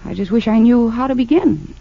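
An actress playing a determined, quick-thinking woman defense lawyer, assertive and persistent, in a 1940s-style radio drama. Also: a little high, creaky, soft background music. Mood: troubled, insistent. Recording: muffled old radio recording.

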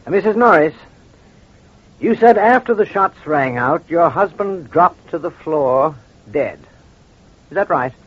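Husky, measured crime doctor. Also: male voice, a little high, animated. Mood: coolly probing. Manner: measured, soothing, courteous. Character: calm, probing crime doctor questioning a suspect. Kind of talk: 1940s-50s radio crime drama acting. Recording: dull old-time radio recording.

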